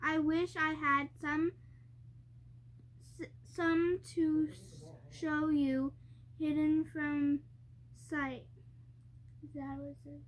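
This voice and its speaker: High-voiced child reading very slowly. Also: level tone, a bit bright. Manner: hesitant, word-by-word, stumbling over harder words. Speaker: young reader reading aloud with mother. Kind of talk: halting storybook read-aloud.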